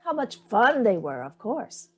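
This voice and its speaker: A woman's voice: a little high, sing-song, a little slow, fairly bright tone, husky, full character voice. An older woman playing a haughty, evasive elderly mother in a staged play reading, dismissive and theatrical with barbed remarks. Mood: breezy.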